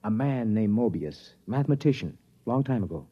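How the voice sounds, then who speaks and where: An actor playing an anxious, intellectual widowed father in a 1950s radio drama, speaking in a measured, hesitant, earnest way. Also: mid-range voice, big pitch swings, mellow, breathy edge. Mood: indulgent. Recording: muffled vintage radio recording with music.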